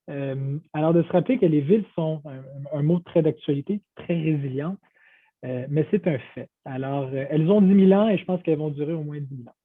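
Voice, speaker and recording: A man's voice: fairly high pitch, no echo. A man of Portuguese origin speaking as a panelist on a video call, enthusiastic and full of fillers and restarts. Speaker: panelist, downtown business association director. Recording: tinny phone-like video call audio.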